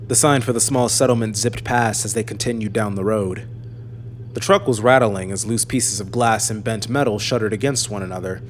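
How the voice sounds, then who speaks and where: A man narrating a scripted fantasy audiobook in a measured, serious way. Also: lowish voice, slight background noise.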